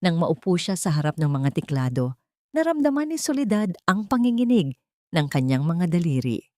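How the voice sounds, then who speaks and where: Low-pitched woman narrating a drama. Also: big pitch swings, normal speed, a little mellow, no echo, clean studio sound. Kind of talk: dramatic scripted storytelling.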